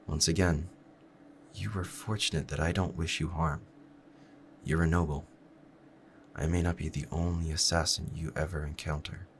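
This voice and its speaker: Very deep-voiced, courtly lovestruck assassin played by a man. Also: a little hiss or hum. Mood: protective, tender. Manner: formal, measured, gently courtly phrasing. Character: lovestruck male assassin, courteous, smitten, quietly menacing. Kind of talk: roleplay audio drama addressed to the listener.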